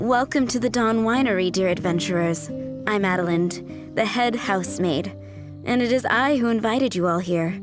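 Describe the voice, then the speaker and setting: A woman's voice: medium pitch, moderate pace, close to an everyday voice. Courteous housemaid played by a woman, music behind. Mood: welcoming. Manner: courteous, formal hostess speech. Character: polite, composed female head housemaid at winery. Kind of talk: anime-style video game voice acting.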